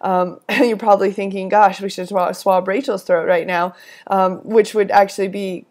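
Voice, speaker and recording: A hoarse woman coordinating a lab course, briefing teaching assistants in a friendly, instructive way with frequent fillers and restarts. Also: medium pitch, steady tone, normal speed, darkish tone. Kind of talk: recorded weekly instructional briefing.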